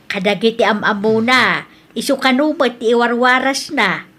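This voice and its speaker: A woman's voice: fairly high pitch, normal speed, a bit bright. A woman playing a worried Ilocano mother. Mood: concerned. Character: worried older mother of a widower. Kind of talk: audio drama acting.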